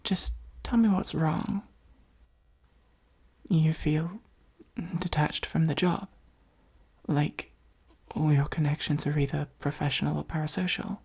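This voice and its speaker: A woman playing a possessive supervillain who is secretly fond of a hero, in an intimate ASMR roleplay, speaking softly and breathily with an earnest, gently wry delivery. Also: sits low, steady tone, takes it slowly, long pauses, exaggerated voice. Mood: tender, concerned. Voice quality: soft, husky, breathy, often near whisper.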